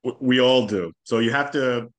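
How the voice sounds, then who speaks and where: A man whose first language is Hebrew, explaining history in a relaxed, thoughtful interview with frequent restarts. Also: medium pitch, moderate pace. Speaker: podcast guest, historian. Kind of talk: casual interview conversation.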